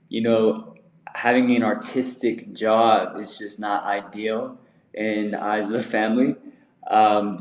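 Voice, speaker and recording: Man, interview host, laid-back, slangy, low voice, roomy echo. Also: a little mellow. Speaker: podcast host. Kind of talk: casual interview chat.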